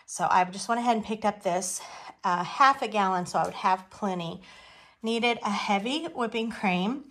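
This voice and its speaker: A woman vlogger talking informally through a haul at home, with folksy, rambling asides. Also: on the high side. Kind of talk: chatty grocery haul narration. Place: home kitchen, indoors.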